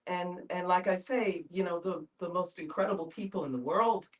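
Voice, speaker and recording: A woman's voice: fairly low pitch, moderate pace. A woman sharing personal reflections in a group discussion. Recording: voice picked up from a distance.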